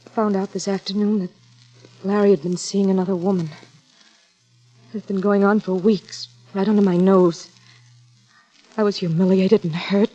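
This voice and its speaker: Casting: actress as wounded, halting crime suspect, mellow and breathy, dull vintage radio sound with music. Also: female voice, medium pitch, fairly even intonation, close to an everyday voice. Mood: hurt, anxious. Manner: hesitant, halting, subdued. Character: hurt, nervous female murder suspect, soft-spoken. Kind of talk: 1950s radio drama acting.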